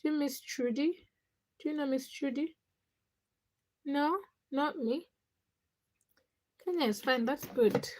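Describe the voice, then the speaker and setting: A woman's voice: high voice, takes it slowly, pauses often, a little mellow. A woman with a Ugandan accent chatting casually as a livestream host, speaking to viewers in short, often repeated phrases. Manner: short, fragmented phrases with repetition.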